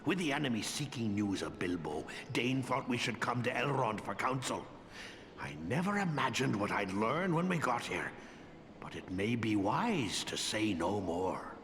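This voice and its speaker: An old dwarf lord from a fantasy video game, grave but hearty. The voice is gravelly and forceful, with rolling, emphatic, old-fashioned phrasing. It rings in a reverberant hall with faint noise behind it. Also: male voice, mid-range voice, big pitch swings, normal speed. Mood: grave, confiding. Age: older.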